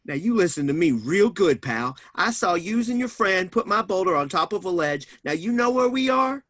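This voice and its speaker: A man playing a brooding, vengeful tough guy with gruff, slangy threats in a comic stage reading. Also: high-pitched, sing-song, normal speed, fairly bright tone, full character voice. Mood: indignant, menacing. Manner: gruff slangy threats, folksy wiseguy talk.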